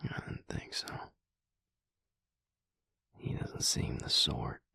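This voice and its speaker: A deep, very husky male vampire played by a man murmurs slowly and closely in an intimate audio roleplay. He is protective and resolute yet gentle, with a soothing, folksy drawl that stays hushed and breathy. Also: wildly swooping pitch, no echo, whispered, clean studio sound, full character voice. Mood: somber, confiding.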